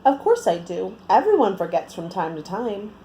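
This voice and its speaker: Huge kindly orca for a storybook, read by a woman, reassuring and easygoing, mellow tone, animated delivery. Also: mid-range voice, moderate pace, a little hiss or hum. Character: gigantic friendly male orca, easygoing and reassuring.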